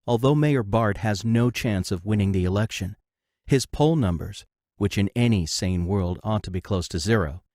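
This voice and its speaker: A man narrating an audio drama from a script, announcing scenes in a measured way. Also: lowish voice, darkish tone, no echo, clean studio sound.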